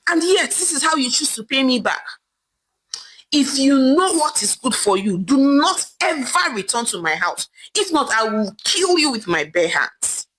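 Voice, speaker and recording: Woman acting furious Nigerian husband, sharp and high. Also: sing-song, a little slow, full character voice, poor audio. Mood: furious, threatening. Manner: scolding, threatening. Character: furious, domineering rich husband disowning his wife. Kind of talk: dramatic folktale storytelling.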